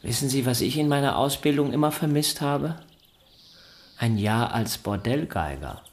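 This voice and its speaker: An actor playing a chatty male musician with a lively, conversational manner. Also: mid-range voice, normal speed, natural voice.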